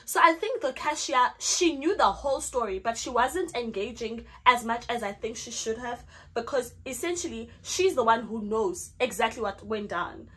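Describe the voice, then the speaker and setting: A woman's voice: fairly high pitch, moderate pace, few pauses, husky. A young South African woman, a Zulu speaker, telling a storytime vlog story and acting out her own lines. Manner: animated, acts out her own lines in the retelling. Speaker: vlogger.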